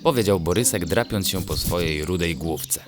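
A man gently narrating a scripted children's bedtime story in a measured, descriptive way. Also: low voice. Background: music.

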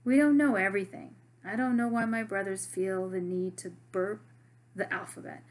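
A woman reading aloud, voicing a spirited, blunt schoolgirl who is a loyal best friend, in a lively, emphatic way. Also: medium pitch, normal speed, lightly acted. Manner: lively, emphatic storybook dialogue.

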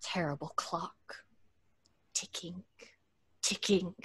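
A woman's voice: fairly low pitch, fairly even intonation, slow pace, husky, whispered, heavily acted. An actress playing a distraught, grieving young woman in an old-time radio horror play, fragile and imploring. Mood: weary dread. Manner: melodramatic, plaintive, imploring. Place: home recording, live remote video call.

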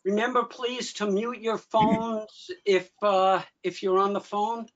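A man's voice: sits high, flat delivery, takes it slowly. A man hosting an online meeting with informal remarks. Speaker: meeting host. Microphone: video call. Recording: dull call audio, faint noise.

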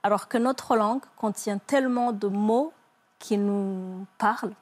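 Woman in her forties from Rwanda, a guest on a TV set, halting and soft-spoken, restarting phrases, on a lapel mic. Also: mid-range voice. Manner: soft, halting, many hesitations and repeats. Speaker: guest, psychologist. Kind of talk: emotional TV interview testimony. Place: TV studio set.

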